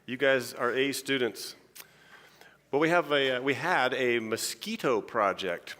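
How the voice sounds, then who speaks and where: A man of ordinary pitch gives a relaxed, humorous science talk with no particular accent, often stumbling and restarting mid-sentence. His voice rings out in a large church hall with a long reverb trailing behind it. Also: unhurried. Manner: chatty and joking, with frequent restarts. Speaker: scientist giving a conference talk. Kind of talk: informal conference lecture.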